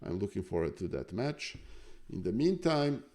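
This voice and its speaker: A man from Serbia chatting casually to livestream viewers as host, speaking haltingly and thinking aloud. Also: lowish voice, sing-song, dark tone. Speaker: chess streamer, host.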